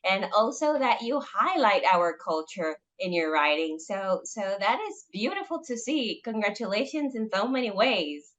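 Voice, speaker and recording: A woman's voice: mid-range voice, big pitch swings, a bit bright. Hesitant woman interviewer. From Dominican Republic. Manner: hesitant, many "um" pauses. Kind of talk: interview questions.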